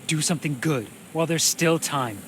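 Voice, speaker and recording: A man's voice: fairly high pitch, moderate pace, a bit bright, slight background noise, natural voice. Grim, desperate soldier, played by a man. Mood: urgent, commanding. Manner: grim, commanding, insistent. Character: embittered wounded soldier, desperate, commanding, pleading for action. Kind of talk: dramatic video game voice acting.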